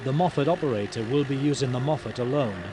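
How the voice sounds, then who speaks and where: A man narrates a 1990s training video in a formal, measured manner. His voice sits at a medium pitch, reading scripted instructions. Loud engine and outdoor noise runs behind him throughout.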